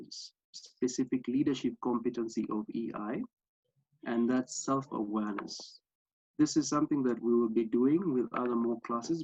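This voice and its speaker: A man's voice: mid-range voice, a little hiss or hum. A young man from Kenya with a Kenyan accent, earnestly presenting an online workshop over a video call, with many fillers and restarts. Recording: slightly dull lo-fi sound.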